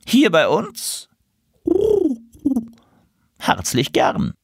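A man's voice: wildly swooping pitch, drawn-out words, vocal fry, slightly rough, no room sound, clean studio sound, heavily acted. A man voicing a stern, pompous grey-blue male pigeon who speaks in an officious, fussy tone. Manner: fussy, officious, high-pitched.

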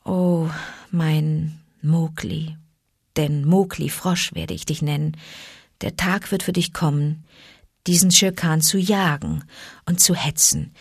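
Lady reading as a fierce mama wolf, protective, kinda low voice, dry sound. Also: husky, dry recording. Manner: fierce, defiant.

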